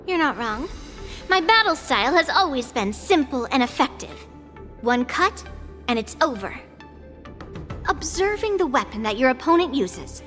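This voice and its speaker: A woman's voice: high voice, a bit bright, long reverb tail. A woman voicing a confident, cocky young swordswoman in a video game, speaking like a mentor. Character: confident young swordswoman, boastful but fair-minded mentor.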